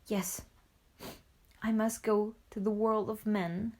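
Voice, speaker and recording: A woman's voice: medium pitch, fairly even intonation, takes it slowly, darkish tone, close to an everyday voice. A woman voicing an earnest jungle boy. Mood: sad. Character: brave young boy raised by wolves.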